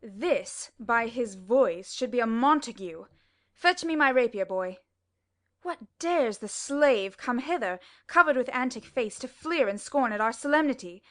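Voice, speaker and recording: A woman's voice: on the high side, lively intonation, unhurried, a bit bright. A woman playing a hot-tempered, proud young nobleman, delivering Shakespearean verse with clipped scorn. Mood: menacing scorn. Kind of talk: theatrical Shakespearean verse acting.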